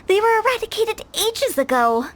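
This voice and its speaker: Very high, girlish, confident young woman. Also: heavily acted. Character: playful, confident young female funeral parlor director. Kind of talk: video game voice acting.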